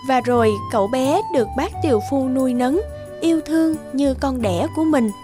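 A woman's voice: high-pitched, fairly quick, music in the background. A woman giving scripted fairy-tale narration for a children's cartoon.

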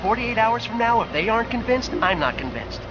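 An actor playing a stern, skeptical representative of investors, clipped and admonishing. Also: male voice, sits high, very animated, brisk. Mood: stern warning. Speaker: investors' lawyer. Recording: dull audio with vehicle noise.

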